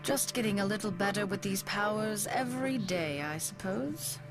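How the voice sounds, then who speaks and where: A woman's voice: medium pitch, moderate pace, loud music behind the voice, natural voice. A woman voicing a villainous mutant who has possessed a young woman, casual and taunting, in a motion comic. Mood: smug, casual. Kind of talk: dramatic motion comic voice acting.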